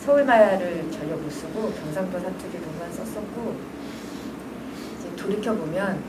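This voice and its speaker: A woman's voice: fairly low pitch, normal speed. A woman giving casual, chatty congratulatory remarks at a book launch. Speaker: guest speaker. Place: library event hall. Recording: distant mic, echoey hall, loud background noise.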